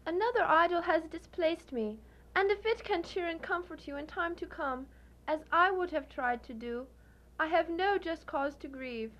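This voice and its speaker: Very high-voiced, sorrowful young woman actress. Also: fairly bright tone. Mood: hurt, resigned. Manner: formal, earnest, measured. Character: sorrowful, dignified young woman, former sweetheart. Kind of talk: live student radio drama acting.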